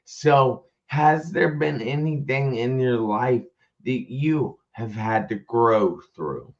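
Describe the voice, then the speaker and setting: A man hosting a casual live-stream interview, speaking in a halting way and repeating himself, over a video call. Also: medium pitch. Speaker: live show host. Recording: dull lo-fi stream audio.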